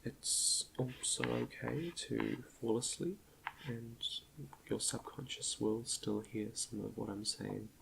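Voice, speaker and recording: A man quietly leading an ASMR guided meditation in a soft half-whisper, gentle and a little hesitant, close to the microphone with chalk clicks behind him. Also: mid-range voice, fairly even intonation, takes it slowly, background noise. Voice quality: soft, breathy half-whisper with creaky fry.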